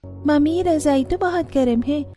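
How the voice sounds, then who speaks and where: Woman as a sweet, high-voiced eager child. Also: unhurried, mellow, soft background music. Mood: delighted. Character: affectionate, eager small child. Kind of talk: Hindi cartoon story voice acting.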